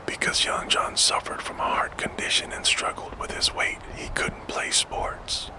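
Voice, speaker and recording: A man narrating a scripted story in a hushed, gentle ASMR whisper, as the host of a sleep storytelling show. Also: measured pace, poor audio. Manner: hushed, gentle, soothing delivery. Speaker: host and narrator of a true crime sleep channel.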